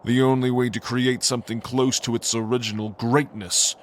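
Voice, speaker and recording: A man's voice: mid-range voice, flat delivery, moderate pace, a little hiss or hum, natural voice. A man playing an ancient, arrogant angel lord, a gloating villain who speaks with grandiose contempt in a fantasy audio drama.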